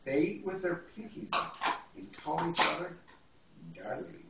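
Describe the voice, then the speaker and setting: A man reads a children's storybook in an unhurried, playful way, with a lively swing in pitch. He sounds away from the microphone in an echoey room. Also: gravelly, far from the mic. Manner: playful, drawing out words for a small child. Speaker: priest reading a picture book to a child. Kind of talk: animated storybook reading with playful asides. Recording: echoey, noisy, muffled home video from afar.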